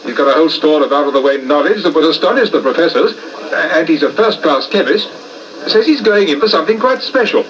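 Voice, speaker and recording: Breezy young English pal, swooping chatty delivery, muffled vintage radio sound. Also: male voice, a little high, lively intonation, fast, a bit bright. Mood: chatty, musing. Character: chatty, breezy young English gentleman, old medical acquaintance. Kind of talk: 1950s radio drama acting. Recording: muffled distant 1950s radio, crowd behind.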